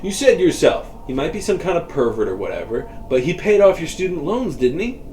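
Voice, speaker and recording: A man's voice: fairly high pitch, very animated, fairly quick, darkish tone, roomy sound, slight background noise. A man voicing a curious young man who keeps pressing with incredulous questions. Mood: pushy. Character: young man called Doc, curious and pushy. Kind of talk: audiobook dialogue reading.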